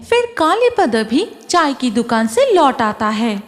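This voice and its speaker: A woman's voice: high-pitched, sing-song, slow. A woman narrating a scripted horror story as the storyteller. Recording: music behind the voice.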